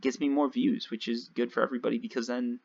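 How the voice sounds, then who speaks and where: A young man casually commentating his gameplay nonstop, his voice a little raspy from long talking. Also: medium pitch, flat delivery, quick pace, a little mellow. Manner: chatty, rambling, nonstop talk with fillers. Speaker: let's play host. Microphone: home desk mic. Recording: dull lo-fi home mic.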